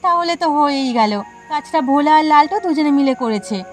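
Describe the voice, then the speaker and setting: A woman's voice: sits high, lively intonation, normal speed, soft background music. A woman voicing a nosy, suspicious village neighbour in a cartoon, prying and insistent. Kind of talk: cartoon dialogue acting.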